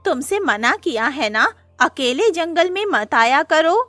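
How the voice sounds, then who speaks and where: Woman as a highish-voiced, protective deer. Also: sing-song, measured pace, sharp tone, no room sound, faint music behind, exaggerated voice. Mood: concerned scolding. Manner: earnest, concerned. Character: brave, protective, worried deer who raises a child. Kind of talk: cartoon moral-story acting.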